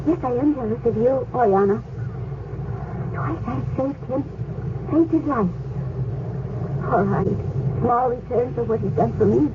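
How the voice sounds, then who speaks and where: Actress as an anguished young slave girl, high voice. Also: measured pace, long pauses, lightly acted. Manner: emotional, pleading. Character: devoted young slave girl Lydia, passionate and jealous. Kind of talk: 1970s radio drama acting. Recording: tinny lo-fi radio broadcast with hiss.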